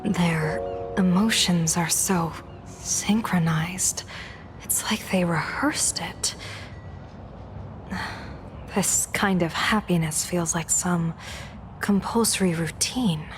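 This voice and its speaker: An actress playing a cool, philosophical older-sister type in a video game, measured, grave and softly breathy. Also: female voice, on the low side, faint music behind. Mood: uneasy.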